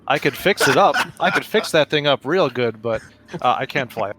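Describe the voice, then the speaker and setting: A man's voice: medium pitch, lively intonation, normal speed, noisy background. A man talking casually, partly in character, as a player in a tabletop game.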